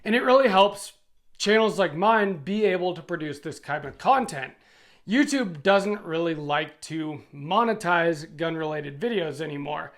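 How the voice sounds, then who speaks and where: A middle-aged American man with a noticeably high voice for a male speaker. He talks in a plain-spoken, conversational way, like a video host casually walking viewers through a product. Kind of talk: casual product review talking to camera.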